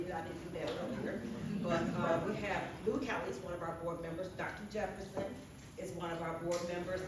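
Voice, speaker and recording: An older woman talks loosely and playfully from a podium, praising people and cracking jokes as she goes. Her voice bounces around a big echoey hall, heard from a distance over loud background noise. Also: lowish voice. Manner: chatty, warm-hearted, joking, laughs often. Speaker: organization leader introducing the panel. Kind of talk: casual conference introduction. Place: large conference room.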